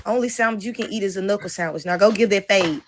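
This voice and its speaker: A woman's voice: mid-range voice, fast talker, background noise, lightly acted. A woman in a comedy skit playing a pushy, brash housemate who crudely orders someone around. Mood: bossy. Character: bossy pushy woman housemate demanding a fade.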